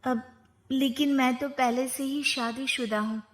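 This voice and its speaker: A woman's voice: high voice, steady tone, measured pace. A woman voicing a discontented young married daughter in a plaintive, dramatic way for a cartoon story. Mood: scheming, smitten.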